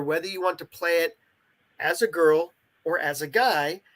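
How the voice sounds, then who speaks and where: A man's voice: on the high side, fairly bright tone. A man being interviewed as a game creator. He explains things in a casual, conversational way, as a guest in an informal chat.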